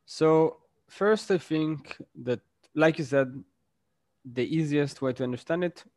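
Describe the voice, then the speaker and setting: A man's voice: a little high, slow pace, darkish tone, no room sound, studio quality. A man, a guest in a casual interview, explains his points with examples and often checks in with "right?".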